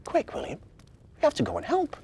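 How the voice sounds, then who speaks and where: A woman's voice: a little fast, warm tone, a little hiss or hum, lightly acted. A woman voicing an eager, caring little girl with an emphatic, urgent manner in a children's story.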